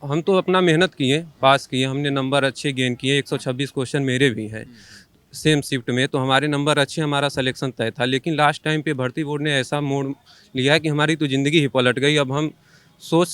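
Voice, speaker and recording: A man in his twenties from northern India, a protesting candidate, answering an interviewer in a halting, aggrieved way. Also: mid-range voice, steady tone, fairly bright tone, clean tone, no echo. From Varanasi, India. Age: 20s.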